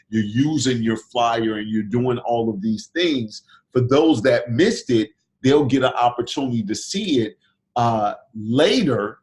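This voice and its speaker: A man's voice: medium pitch, darkish tone. Man, losing his voice. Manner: persuasive, repeats himself, restarts phrases. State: recovering from illness, losing his voice. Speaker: event organizer hosting a speakers call. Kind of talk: casual pitch on a group video call. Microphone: video call.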